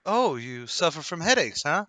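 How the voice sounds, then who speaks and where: Man playing a bright, chatty, curious fellow. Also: a little high, big pitch swings, moderate pace, dry recording. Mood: curious, sympathetic. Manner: friendly, inquisitive, talkative. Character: chatty, curious, good-natured male passer-by. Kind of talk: radio play table read.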